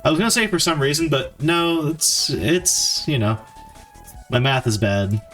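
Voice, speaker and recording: A man chatting casually on a live stream while he codes, thinking aloud in a halting, informal, self-deprecating way. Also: fairly high pitch, expressive, a bit bright, faint music behind. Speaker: game developer streamer.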